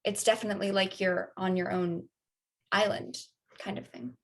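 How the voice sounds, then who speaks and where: A woman's voice: medium pitch, flat delivery, moderate pace, natural voice. A woman playing a reflective character who chats casually in a documentary play. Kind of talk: casual documentary theater monologue.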